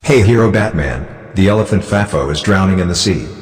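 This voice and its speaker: A man's voice: deep voice, expressive, fairly quick, big-room sound, music in the background. A man playing a kindly, heroic father lion in a children's toy story, speaking in simple phrases to young listeners. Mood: rousing, resolute. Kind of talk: children's bedtime story acted with toys.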